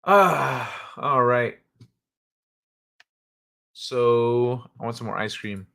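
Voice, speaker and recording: A man's voice: mid-range voice, very slow, vocal fry, sharp tone. A man hosting a live stream, chatting casually and rambling with jokes and swearing. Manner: rambling, joking, profane, laughs often. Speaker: livestream host. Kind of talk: casual live-chat talk.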